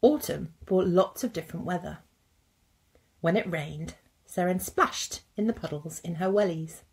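A woman in her thirties narrating a picture book as a teacher, with deliberate phrasing for young children. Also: on the low side, a little mellow, slightly rough. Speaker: teacher reading a story. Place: indoors at a computer.

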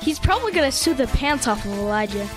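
A cheeky, teasing child voiced by a woman in a radio comedy. The voice is very high and swings widely in pitch, over loud music. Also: very animated, quick pace. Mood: cheeky, curious. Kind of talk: comedic radio theater acting.

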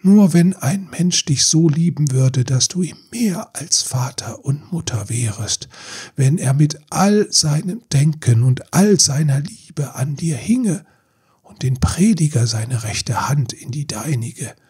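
Man voicing a breathy old mermaid grandmother, solemn. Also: a little high, lively intonation, warm tone, pitched above the performer's own voice, full character voice. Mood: grave, tender. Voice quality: breathy, husky, often half-whispered. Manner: slow, solemn explaining.

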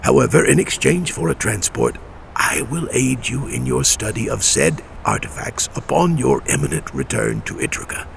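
An actor playing an irritable, haughty ancient mystic in an audio drama, gravelly and halting, with theatrical archaic phrasing. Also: male voice, mid-range voice, sing-song, a little hiss or hum. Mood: grudging. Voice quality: gravelly, breathy at times.

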